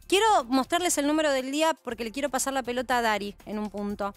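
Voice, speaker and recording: A woman's voice: high-pitched, expressive, fast, smooth, faint music behind. A woman in her thirties with an Argentine accent, hosting a studio news panel and explaining with rhetorical questions. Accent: Argentine Spanish. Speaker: news host.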